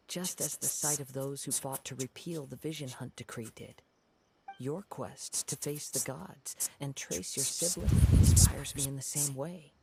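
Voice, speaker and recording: A man voicing a calm, poetic young wandering swordsman in a video game, soft-spoken and formal. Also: medium pitch, vocal fry, noisy background.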